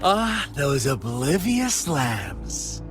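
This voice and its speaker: An actor voicing a theatrical, menacing video game villain who tells dark parables in a playful, taunting way with drawn-out phrasing. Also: male voice, fairly high pitch, huge pitch swings, music in the background, exaggerated voice. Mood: ominous storytelling. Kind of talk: dramatic video game voice acting.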